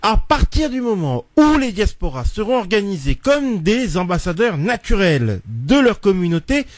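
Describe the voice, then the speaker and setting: A man's voice: sits high, big pitch swings, moderate pace, no echo. A man, a guest in a studio debate, making his points emphatically one by one.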